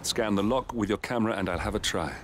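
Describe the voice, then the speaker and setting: An actor playing an intense, embittered covert mission handler, briefing in a focused, confiding way. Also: male voice, fairly low pitch, fast talker, slight background noise, lightly acted. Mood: determined. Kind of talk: mission briefing over comms. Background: game music.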